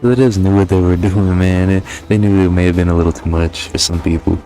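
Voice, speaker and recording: A young man with a low-pitched voice gives an informal game review commentary. His talk is loose and peppered with swearing, with faint music behind him. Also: steady tone, fairly quick, mellow. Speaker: gaming YouTuber.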